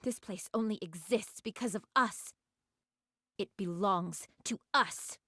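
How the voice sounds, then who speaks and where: A woman playing a disillusioned, grief-stricken and vengeful heroine in a video game, with slow, deliberate, dramatic delivery. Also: medium pitch, a bit bright, no echo, studio quality. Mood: grim resolve. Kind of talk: video game cutscene voice acting. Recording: game audio with music behind.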